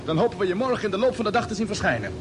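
An actor playing a calm, authoritative space expedition captain in an old radio drama, giving measured orders. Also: male voice, music in the background. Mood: commanding, brisk. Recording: noisy old radio drama, vehicle rumble.

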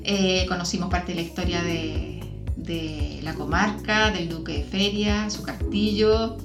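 A woman's voice: on the low side, steady tone, measured pace. Woman chatting in a roomy echo. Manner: chatty, uses diminutives and tag questions. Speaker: podcast host. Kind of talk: casual conversation recounting a visit. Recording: roomy echo, music behind the voice. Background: music.

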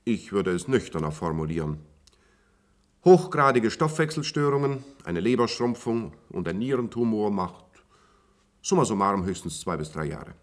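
An actor playing an authoritative institute doctor in a radio drama, precise, cool and matter-of-fact. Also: male voice, on the low side, animated, normal speed, close to an everyday voice. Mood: cool, clinical. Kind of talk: German radio play acting.

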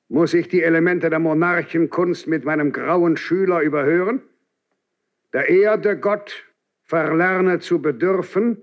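Actor as a stern, slow-speaking old inquisitor. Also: male voice, a little high, lightly acted. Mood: scornful. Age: older. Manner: severe, measured, declamatory, reproachful. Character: aged Grand Inquisitor, stern, unyielding old priest. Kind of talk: classical German radio drama acting. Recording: dull 1970s radio drama recording.

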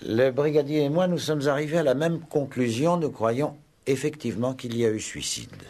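An actor playing a calm, probing police inspector in a radio play, measured and deliberate as he questions. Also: mid-range voice. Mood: courteous, composed. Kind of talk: 1960s French radio play acting.

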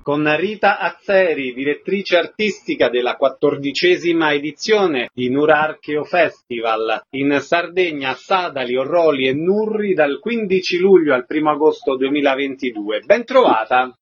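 A man presents and asks questions as a radio host. His voice sits a little high for a man. The sound is slightly dull, as on a modest radio recording. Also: animated, normal speed, hardly any pauses, faint music behind. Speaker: radio host interviewing festival organisers.

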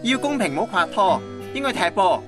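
Casting: male actor, lovesick young Cantonese romantic from radio drama, high animated voice, earnest, Hong Kong accent, guitar behind. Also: brisk. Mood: earnest devotion. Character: lovesick young man, stubbornly devoted, self-deprecating romantic. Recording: guitar music under the voice.

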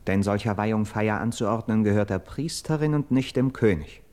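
Actor as low-voiced, urgent Greek nobleman. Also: a little mellow, close to an everyday voice. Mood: resolute, instructing. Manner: declamatory, elevated, measured stage diction. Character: urgent, persuasive young nobleman of Greek myth, loyal friend. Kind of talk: classical verse radio drama acting.

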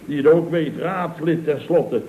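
A man's voice: a little high, measured pace, natural voice. A man playing a scheming, self-pitying boss of a building firm in a radio comedy drama, theatrical and wheedling. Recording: dull old radio recording with hiss.

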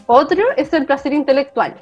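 A woman's voice: sits high, normal speed. A woman with a Chilean accent co-hosting a podcast, explaining things in a casual, chatty way. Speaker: podcast co-host. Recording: dull lo-fi audio, music underneath.

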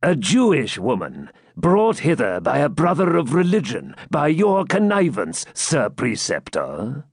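A man voicing a severe elderly Grand Master of a holy order, speaking slowly and forcefully as he accuses. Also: fairly high pitch, huge pitch swings, breathy edge, no room sound, studio quality, voice pushed up, exaggerated voice. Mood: stern. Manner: slow, forceful, accusing delivery.

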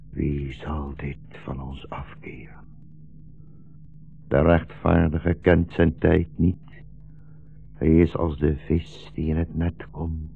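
A solemn old blind rabbi in a sixties radio play, weary and dignified. The voice sits very low with a gravelly, husky grain, caught on a dull vintage broadcast recording. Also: male voice, full character voice. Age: older. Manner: solemn, slow biblical declaiming. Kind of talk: 1960s radio drama acting.

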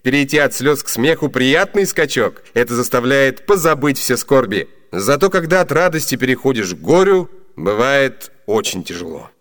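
An actor playing an ardent, lordly gentleman and master in a classic comedy radio play, with polished, declamatory delivery. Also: mid-range voice, big pitch swings, moderate pace, hardly any pauses. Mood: ardent, reflective. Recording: reverberant 1980s Soviet radio studio.

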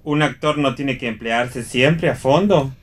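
A man's voice: mid-range voice, a little slow, lightly acted. A man with an Argentine accent playing a contrary, sardonic, restless character in a read radio play. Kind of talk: read radio theatre dialogue.

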